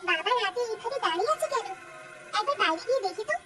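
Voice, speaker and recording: A woman's voice: very high-pitched, expressive, fast. A woman voicing an anxious, caring young daughter in a cartoon, full of worried questions. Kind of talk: cartoon drama voice acting. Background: music.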